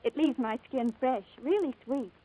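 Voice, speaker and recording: A woman's voice: high voice, normal speed, dark tone, poor audio. An actress playing a dainty, delighted young woman giving a testimonial in a 1940s radio advertisement.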